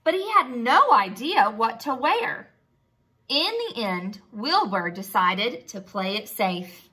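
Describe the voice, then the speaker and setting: A woman reads and teaches for young children in a bright, fairly high voice. She goes slowly with very lively intonation, pausing for simple questions and praise. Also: breathy edge. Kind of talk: expressive storybook read-aloud and lesson.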